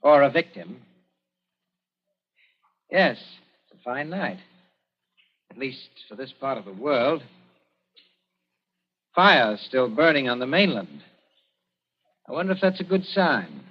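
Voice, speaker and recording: Shrewd young colonial officer. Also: male voice, medium pitch, very animated, breathy edge, exaggerated voice. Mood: brooding. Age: young adult. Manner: measured, dryly ironic, knowing. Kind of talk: 1940s radio acting. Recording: dull, muffled 1940s radio recording.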